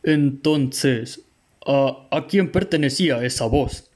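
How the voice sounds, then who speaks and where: A Mexican man acting in a student radio drama as a hesitant, flustered police officer with halting, stammered speech. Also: mid-range voice, darkish tone.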